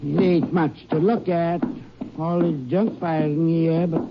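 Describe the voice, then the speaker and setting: A man's voice: a little high, level tone, a little slow, noisy background. An actor playing a nervous, eager-to-please male small-town store owner who hesitates a lot, in a 1950s radio drama. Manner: hesitant, with many uhs. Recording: dull old radio recording.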